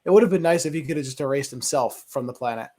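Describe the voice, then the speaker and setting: A man's voice: mid-range voice, fast, a little mellow. A man giving his opinions as a panelist in a casual movie discussion.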